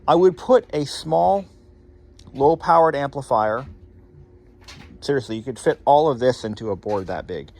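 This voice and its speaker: Animated, wry man rambling casually. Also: mid-range voice, normal speed, pauses often. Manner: wry, sarcastic asides, casual swearing. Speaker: host of a hobby electronics repair channel. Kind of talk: casual rambling demonstration talk to viewers.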